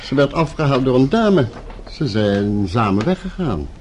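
An actor playing a courteous, formal French hotel desk clerk in a Dutch radio play, sprinkling in French titles. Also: male voice, mid-range voice, big pitch swings, moderate pace, a little mellow, a little hiss or hum, close to an everyday voice. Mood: polite.

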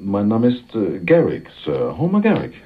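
An actor playing a flirtatious, pushy courier in a German radio crime drama, wry and given to small hesitant stammers. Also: male voice, on the low side, wildly swooping pitch, moderate pace, breathy edge, heavily acted. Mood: guarded. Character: flirtatious, pushy male courier passing through town. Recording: muffled phone-like old radio drama, faint noise.

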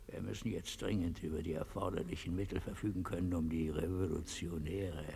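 An actor playing an imperious, coldly courteous Latin American dictator in a radio play, husky, gravelly and formally menacing. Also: male voice, low-pitched, steady tone, fairly quick, mellow, exaggerated voice. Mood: urgent, commanding. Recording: echoey hall with long reverb.

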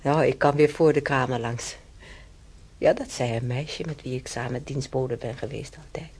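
A man's voice: medium pitch, fairly even intonation, normal speed, a little mellow, a little hiss or hum, close to an everyday voice. An actor in a radio play voicing a chatty, stubborn old German-born man who rambles through his memories with hesitations. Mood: musing, chatty. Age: older. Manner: rambling, reminiscing, with hesitations and tag questions. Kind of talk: conversational radio play acting.

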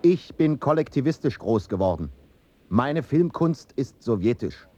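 An actor in a German radio play, playing a fervent, committed Soviet documentary film-maker who speaks in declamatory statements. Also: male voice, mid-range voice, sing-song, measured pace, mellow, lo-fi sound, slight background noise. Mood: proud, declarative.

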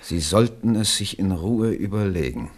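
A man's voice: sits low, animated, moderate pace. An actor playing a blunt, stern royal minister in a radio drama, speaking formally and firmly as he admonishes. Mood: admonishing, grave.

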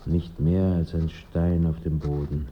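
A man acting as a reflective first-person narrator in a German radio play, with measured, literary storytelling. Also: extremely low pitch, level tone, muffled recording, slight background noise.

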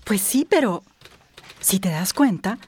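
An actress playing a troubled, probing professional woman in charge, with expressive, earnest delivery in a radio drama. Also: mid-range voice, slow, breathy edge. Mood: suspicious.

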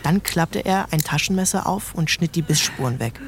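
A man's voice: on the high side, animated, normal speed, slight background noise. A man giving scripted literary narration as the narrator of an audio drama. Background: faint music.

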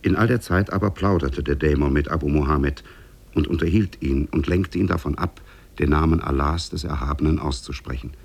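A man narrating a tale in a measured, formal manner for a 1950s German radio drama. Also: extremely low pitch, vocal fry, breathy edge.

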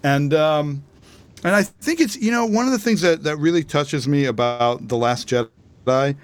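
A man's voice: fairly high pitch, measured pace. A middle-aged man co-hosting a podcast, talking casually and earnestly in a rambling way, often repeating words. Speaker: podcast co-host.

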